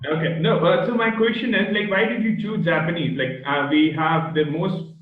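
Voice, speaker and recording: Halting man on an echoey call. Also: on the high side, a little hiss or hum. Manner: halting, searching for words mid-sentence. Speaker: host. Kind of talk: online interview with a child guest. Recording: echoey, tinny phone-like video call audio.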